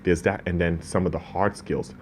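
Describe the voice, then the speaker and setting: A man's voice: low-pitched, fairly even intonation, normal speed, a little mellow, slight background noise. A man born in Ghana, speaking as a business owner in an interview, earnest and prone to restarts. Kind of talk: casual interview talk.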